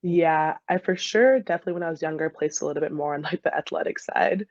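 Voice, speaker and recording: A woman's voice: low voice, fast talker, no echo, muffled recording. A young American woman from Michigan answering interview questions in a casual, chatty way as a former college soccer player, often laughing as she talks. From Michigan, USA.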